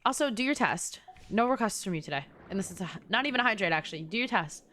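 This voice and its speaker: Young female streamer. Casual gameplay chatter, teasing asides to viewers, animated intonation. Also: medium pitch, fast talker, slight background noise. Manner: bantering, teasing, talks to chat. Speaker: game streamer. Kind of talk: casual livestream chat and gameplay commentary.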